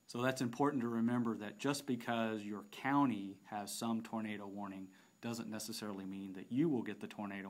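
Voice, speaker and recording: Man, lowish voice, unscripted tutorial narration, explanatory, halting phrasing. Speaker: software demonstrator.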